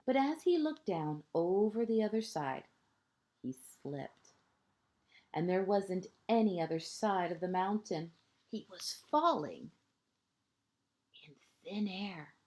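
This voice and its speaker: Female kindergarten storyteller, mellow and slow, gentle, expressive read-aloud with hushed phrase endings. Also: medium pitch. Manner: deliberate pauses, short phrases, asides to young listeners. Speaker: kindergarten teacher reading a picture book.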